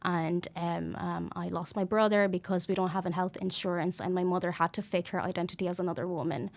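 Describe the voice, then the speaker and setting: A woman's voice: on the low side, steady tone, fairly quick, creaky. A woman in her twenties from Lebanon, telling her story as a podcast guest in an earnest, hesitant way full of ums and restarts. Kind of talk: personal interview talk.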